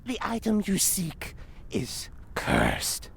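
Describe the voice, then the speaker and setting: A woman voicing a creepy, ravenous old demon woman in an audio drama, with ominous, theatrical, archaic phrasing and a gravelly creak. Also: medium pitch, wildly swooping pitch, takes it slowly, a little hiss or hum, full character voice. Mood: ominous, hungry.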